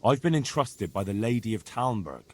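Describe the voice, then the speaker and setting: A man's voice: lowish voice, very animated, normal speed, husky. A man playing an earnest, polite young medieval horseman in a video game cutscene.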